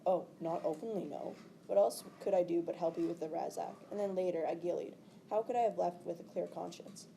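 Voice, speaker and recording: A woman reads a bitter young man from a storybook, low and gravelly. He is sarcastic and accusing, over faint noise. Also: steady tone, a little fast, mellow. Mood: angry, sarcastic. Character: bitter, angry, sarcastic young male fugitive.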